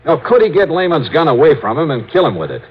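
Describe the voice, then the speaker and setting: Sharp, animated radio detective. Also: male voice, fairly high pitch, fast, husky, full character voice. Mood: insistent, persuasive. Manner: pressing, clipped interrogation. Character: tough, sharp, self-assured male insurance investigator. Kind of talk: 1950s radio drama acting. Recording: dull vintage radio recording.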